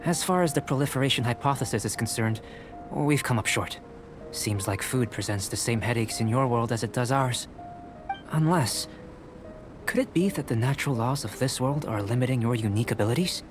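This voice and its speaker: A man playing a composed young alchemist in a video game, speaking in a measured, formal, analytical manner. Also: mid-range voice, music in the background, lightly acted. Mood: calm, analytical. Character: calm, courteous young male alchemist and researcher. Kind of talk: video game character dialogue.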